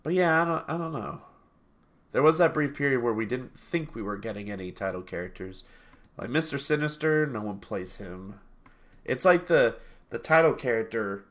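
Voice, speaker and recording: A man's voice: mid-range voice, expressive, moderate pace, a bit bright. A sniffly man in his thirties talks over a video call through a headset from a small room at home. He rambles in a casual way, often doubling back and correcting himself, with easy laughs in between. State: sniffly from allergies. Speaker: game reviewer co-host.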